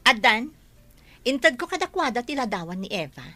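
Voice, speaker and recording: Bright, sharp-voiced spiteful rich woman. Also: fairly high pitch, big pitch swings, moderate pace. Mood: scheming. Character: spiteful, scheming wealthy woman plotting against a girl. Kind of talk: Ilocano radio drama acting.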